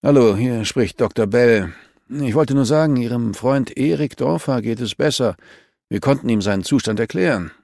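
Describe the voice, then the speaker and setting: A man's voice: medium pitch, normal speed, vocal fry, a little mellow, dry recording, clean studio sound, pitched above the performer's own voice, lightly acted. A man voicing a formal hospital doctor in an audiobook, explaining things in a reassuring way.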